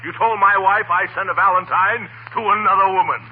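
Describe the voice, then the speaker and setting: An actor as a blustering neighbor, noisy old radio. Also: male voice, high voice, fairly even intonation, brisk, close to an everyday voice. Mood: indignant, desperate. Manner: pompous, theatrical bluster. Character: blustering, aggrieved husband, desperate then chortling neighbor. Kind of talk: 1940s radio comedy acting. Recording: noisy, tinny 1940 radio broadcast.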